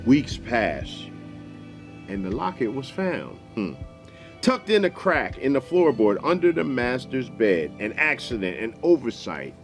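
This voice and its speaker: An American man hosting and telling a story in a deliberate, folksy, dramatic way. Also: medium pitch, sing-song. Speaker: storyteller, show host. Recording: music behind the voice.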